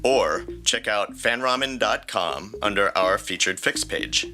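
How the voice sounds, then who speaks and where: Bright, forceful male podcast co-host. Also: fairly low pitch, expressive, measured pace. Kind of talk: scripted playful podcast banter. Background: music.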